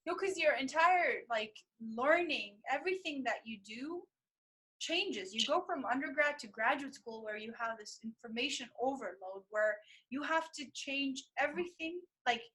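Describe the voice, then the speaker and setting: Bright-voiced young woman host, chatty. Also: sits high, moderate pace, poor audio. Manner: chatty, lots of fillers, animated. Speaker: interview host, medical student. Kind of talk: casual interview conversation.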